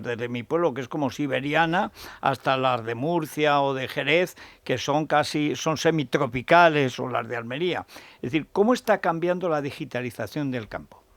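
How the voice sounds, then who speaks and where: A man's voice: fairly high pitch, a bit bright, dry recording. A man with a Spanish accent hosting a radio interview, asking chatty, wandering questions. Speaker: radio host.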